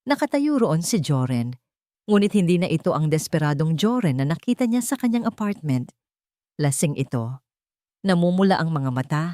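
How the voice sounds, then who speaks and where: A woman's voice: sits low, darkish tone, no room sound, studio quality. A woman reading a dramatic romance story as a scripted narrator.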